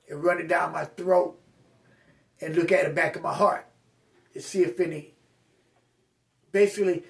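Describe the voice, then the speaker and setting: A man's voice: on the high side, gravelly, recorded from a distance. A man vlogging a casual personal update to his viewers, with slurred speech and a rambling, straight-talking way of speaking full of fillers and restarts.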